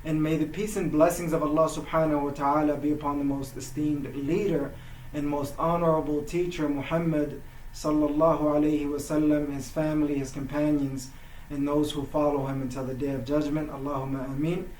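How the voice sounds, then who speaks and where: A man's voice: fairly high pitch, flat delivery, fairly quick. Man host opening with prayerful phrases. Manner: warm religious phrases, often says alhamdulillah. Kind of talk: casual interview with religious opening. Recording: roomy echo with faint noise.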